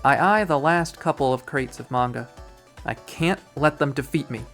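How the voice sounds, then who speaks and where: A person reading visual novel narration aloud in an expressive storytelling manner. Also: medium pitch, normal speed, soft background music. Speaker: video game reader.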